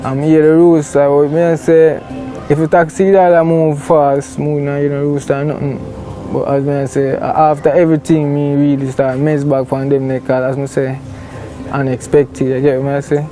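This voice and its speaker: Mellow, even-toned young Jamaican man. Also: a little high, normal speed, faint music behind. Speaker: interviewee. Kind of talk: informal spoken recollection in Patois.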